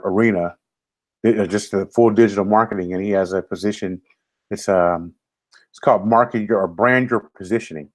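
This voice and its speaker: A middle-aged man co-hosting a casual show from home over a video call, laid-back and jokey, often trailing off into a mumble. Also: fairly low pitch. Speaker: co-host. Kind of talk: casual chat.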